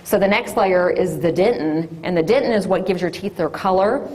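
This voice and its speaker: A woman, an orthodontist giving a loud, lively classroom talk to children. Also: on the low side, moderate pace, poor audio. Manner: loud and lively, sing-song call-and-response.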